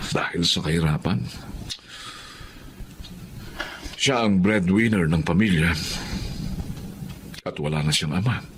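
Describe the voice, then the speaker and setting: An actor with a Filipino accent playing a stern but compassionate police sergeant in a radio drama, speaking in a firm, moralizing way. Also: male voice, sits low, a little hiss or hum. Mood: sympathetic.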